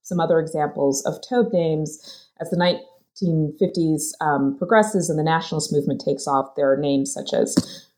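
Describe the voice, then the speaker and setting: A woman's voice: deep voice, normal speed, warm tone, low-quality recording. A woman author discussing her research in a thoughtful, hesitant way, with many fillers and restarts. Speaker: author being interviewed. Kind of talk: academic conversation.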